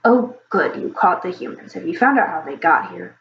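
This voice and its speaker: A woman voicing an old, brusque dragon king and grandfather, speaking low and offhand. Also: wildly swooping pitch, moderate pace, a little hiss or hum. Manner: gruff, offhand. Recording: roomy, slightly dull recording.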